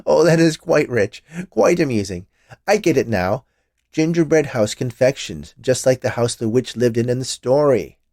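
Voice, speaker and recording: A man's voice: medium pitch, expressive, normal speed, a little mellow, dry recording, pitched above the performer's own voice, lightly acted. Storybook health inspector, man acting: fussy, precise, formal and dry, businesslike male voice. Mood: amused. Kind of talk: dramatized short story reading.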